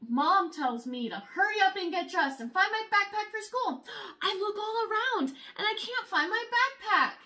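A young woman reading a children's picture book aloud in an expressive, exaggerated storytelling way. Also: very high-pitched. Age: young adult. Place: small room at home, indoors.

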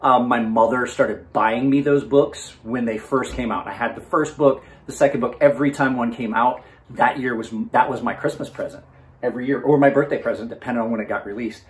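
A man's voice: medium pitch, steady tone, a little fast, slightly rough, rough recording. A man in his forties reviewing gear in a casual, unscripted way, chatting informally to his viewers as host. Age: 40s. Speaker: knife reviewer and channel host.

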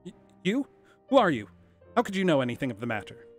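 A small, cringing storybook man, acted out by a male narrator, speaks in a thin, high whine. The voice quavers with nerves and sounds timid and jumpy. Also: wildly swooping pitch, fast, soft background music. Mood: frightened, suspicious. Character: small rat-faced nervous man, whining and cringing.

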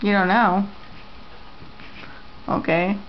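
A woman interviewing family members at home, repeating their answers back and asking gentle questions. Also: medium pitch, fairly even intonation, extremely slow, a little hiss or hum. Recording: lo-fi home camera audio.

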